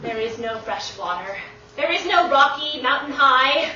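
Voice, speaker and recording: A woman's voice: high voice, lively intonation, measured pace, sharp tone, full character voice. Woman as an indignant, piercing water farmer on stage. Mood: scornful, challenging. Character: indignant, suspicious, self-righteous small-time water farmer. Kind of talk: broad comic stage acting. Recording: distant mic in echoey theatre, dull, faint noise.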